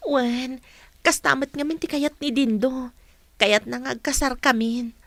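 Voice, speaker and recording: An actress in a radio drama playing a young woman in love, speaking softly and earnestly. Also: high voice, a little slow, no room sound. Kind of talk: broadcast radio drama acting.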